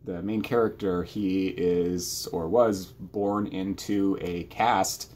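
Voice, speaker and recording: A man talking casually about books as a channel co-host, in a hesitant, self-correcting way with a husky voice. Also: sits low, fairly even intonation, slow pace, a little mellow. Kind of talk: casual book chat.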